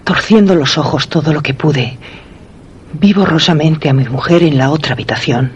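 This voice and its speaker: An actor narrating a macabre suspense radio drama with a Spain accent, scary music behind him. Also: male voice, a little high, normal speed, slightly rough, dull audio. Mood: ominous. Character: storyteller of a macabre horror tale.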